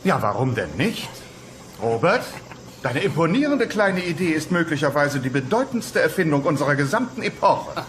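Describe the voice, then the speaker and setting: Dinosaur schoolteacher: hesitant, easily impressed, lively intonation, comedy audio play with slight background noise. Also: male voice, on the high side, moderate pace. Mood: awed. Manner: hesitant, grandly admiring. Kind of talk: dubbed comedy radio play acting.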